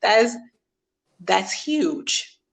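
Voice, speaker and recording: A woman's voice: mid-range voice, very animated, extremely slow, vocal fry. A woman hosting a podcast interview, chatty and laughing often, acting out quoted reactions in casual talk. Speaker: podcast host.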